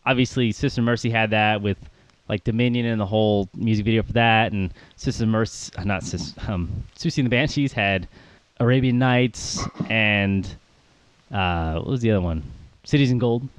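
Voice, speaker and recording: A man's voice: fairly low pitch, normal speed, clear, resonant tone, dry recording. A man co-hosting a casual podcast about music, rambling with plenty of fillers and little laughs. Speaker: podcast co-host.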